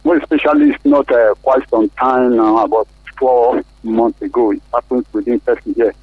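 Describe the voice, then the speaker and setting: A man's voice: medium pitch, very animated, normal speed, husky, dry recording. Tinny phone-line Nigerian man calling in. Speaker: radio phone-in caller. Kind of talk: giving opinion on a call-in show. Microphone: telephone.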